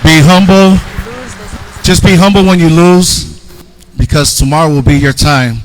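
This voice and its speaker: Slightly high-voiced man presenting. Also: fairly even intonation, measured pace, rough recording. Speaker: youth group presenter. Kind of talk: presentation and answering questions. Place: church hall.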